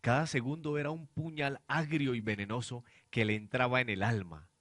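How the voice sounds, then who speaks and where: A man's voice: mid-range voice, steady tone, normal speed, a bit bright, no echo. A man narrating a radio drama in a scripted, dramatic storytelling style.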